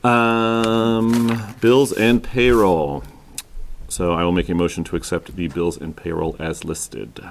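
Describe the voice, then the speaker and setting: Deep-voiced man chairing a meeting. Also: flat delivery, slow, creaky, a little hiss or hum. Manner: loud, joking, conversational. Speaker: school committee chair. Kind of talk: running a public meeting.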